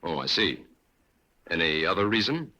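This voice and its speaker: An actor playing a calm, polite detective who asks probing questions in an old radio drama. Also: male voice, sits low, normal speed, heavily acted. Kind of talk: 1940s radio acting.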